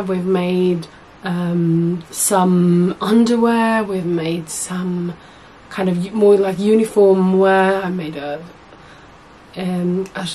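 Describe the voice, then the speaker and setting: A young woman with a husky voice, hoarse from a cold, chatting to camera as a sewing vlogger in a small room at home, rambling and correcting herself often. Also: medium pitch, a little mellow, a little hiss or hum, rough recording. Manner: chatty, rambling, many fillers and self-corrections. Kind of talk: casual chat to camera.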